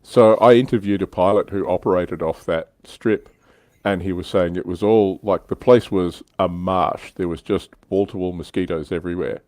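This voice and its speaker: A man's voice: deep voice, moderate pace, a little mellow, no echo. A man hosting a casual hobby livestream, telling stories in an explanatory way with some swearing. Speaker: livestream host.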